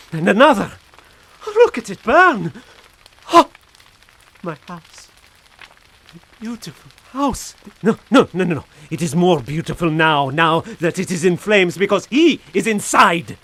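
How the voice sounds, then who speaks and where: An actor playing a tormented, paranoid gentleman losing his mind, in a theatrical radio suspense style with a husky voice. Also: sits high, expressive, unhurried, exaggerated voice. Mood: manic exultation. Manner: theatrical, brooding monologue swelling to frenzy. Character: tormented paranoid Frenchman gentleman descending into madness. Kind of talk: radio suspense drama acting.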